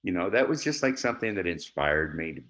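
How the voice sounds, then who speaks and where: Deep-voiced man telling stories easily. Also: very animated, quick pace, a bit bright. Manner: easygoing, laughs often, many restarts. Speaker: actor guest. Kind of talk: conversational storytelling.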